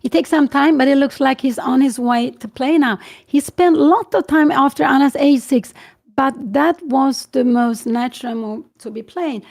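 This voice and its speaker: Older Swedish woman with a gravelly, mellow voice. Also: high-pitched, normal speed. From Sweden. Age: 60s. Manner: thinking aloud with many fillers and self-corrections. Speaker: chess commentator. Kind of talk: live chess commentary and Q&A.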